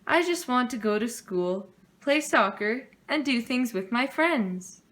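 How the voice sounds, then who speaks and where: A woman's voice: fairly high pitch, lively intonation, a little slow, fairly bright tone. A woman voicing a sincere young girl bear cub from a children's storybook, sweet and a little hesitant.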